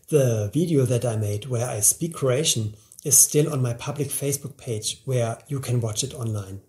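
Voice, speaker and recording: A man in his forties speaking to camera in a studio, giving an earnest, upbeat scripted sales pitch for a language course. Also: dark tone. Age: 40s. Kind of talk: scripted promotional talk to camera. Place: indoor studio, plain grey backdrop, seated at a table.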